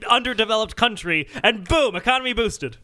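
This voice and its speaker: A man's voice: sits high, expressive, a little fast, bright, forceful tone. A man hosting a comedy podcast, talking casually with a sarcastic edge.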